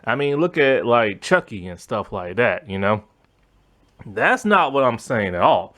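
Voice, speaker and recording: A man hosting a casual movie review monologue, his voice strained and going hoarse as he rambles with lots of fillers. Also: a little slow. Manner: rambling, lots of fillers, animated pitch swings. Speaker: movie review channel host.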